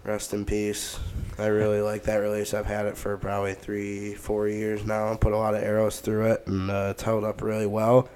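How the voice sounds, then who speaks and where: A man with a stuffed-up nose, co-hosting a podcast and talking through his gear in a relaxed, rambling way. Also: fairly low pitch, moderate pace, few pauses, a little hiss or hum. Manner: relaxed, rambling, many fillers. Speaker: podcast co-host. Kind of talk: casual gear talk.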